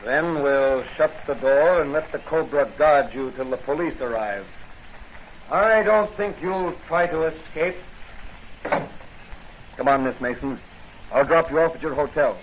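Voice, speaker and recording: An actor playing a confident, stern masked crime-fighter in a 1940s-style radio serial. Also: male voice, fairly high pitch, lively intonation, measured pace. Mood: stern, commanding. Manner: taunting, commanding. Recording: dull, noisy old radio serial recording.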